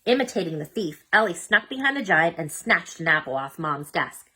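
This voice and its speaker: Animated, bright-voiced woman reading a storybook. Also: on the low side, moderate pace, slightly rough, poor audio. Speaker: storybook reader. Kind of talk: expressive children's read-aloud narration.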